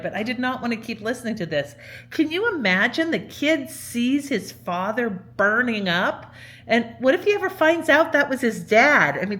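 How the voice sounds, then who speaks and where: A woman's voice: fairly high pitch, a bit bright. A woman hosting with casual, candid, exclamatory commentary aimed straight at listeners. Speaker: host, presenter.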